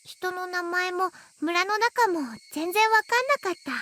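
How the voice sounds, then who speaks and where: A sweet anime schoolgirl with an extremely high, girlish voice. She is gentle and a little timid, ending phrases in a cutesy way, as a visual novel heroine. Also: a little fast. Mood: brightly reminiscing. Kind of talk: Japanese visual novel voice acting.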